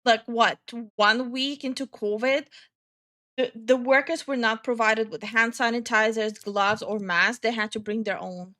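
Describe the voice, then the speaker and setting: A woman's voice: on the high side, steady tone, unhurried, sharp tone. A woman from Estonia hosting a casual live stream, ranting heatedly, swearing often, and greeting viewers in chat. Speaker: streamer and channel host.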